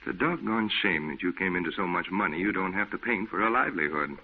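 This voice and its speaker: A man's voice: low-pitched, fast talker, slight background noise, natural voice. A man acting a breezy, reassuring friend in an old-time radio drama, with a hearty, folksy way of talking. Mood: concerned. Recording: muffled, narrow-band old radio recording.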